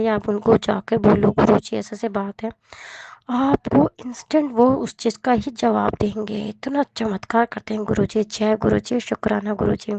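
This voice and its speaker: Hoarse woman giving earnest thanks. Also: a little high, darkish tone, dry recording, lo-fi sound. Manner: earnest, grateful, repeats thanks over and over. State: hoarse from a cold. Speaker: devotee sharing a testimony. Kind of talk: devotional testimony.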